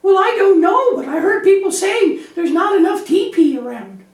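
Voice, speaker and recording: A woman's voice: very high voice, very animated, normal speed, a little mellow, exaggerated voice. A woman voicing an anxious, childlike little lamb puppet in a children's church show, naive, earnest and chatty. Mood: naive, puzzled. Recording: echoey room, distant microphone.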